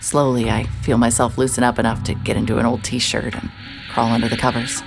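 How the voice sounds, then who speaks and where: A woman playing a very deep-voiced sardonic investigator. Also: level tone, fairly quick, vocal fry, music in the background. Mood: wry. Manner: wry, dry, self-mocking delivery. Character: hard-hearted, sardonic woman private investigator. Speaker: private investigator. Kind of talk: first-person scripted narration.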